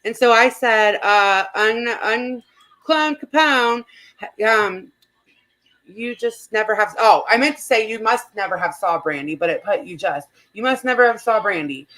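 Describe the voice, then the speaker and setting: A woman's voice: fairly high pitch, a little slow, fairly bright tone, poor audio. A woman hosting a casual, gossipy chat and reading comments aloud in an informal, giggly manner. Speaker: host.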